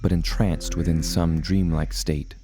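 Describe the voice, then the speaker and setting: An actor playing a reflective seafaring adventurer, narrating his tale in deliberate storybook phrasing for an audio drama. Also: low-pitched, little pitch movement, mellow, soft background music. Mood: reflective, wondering. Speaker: first-person narrator.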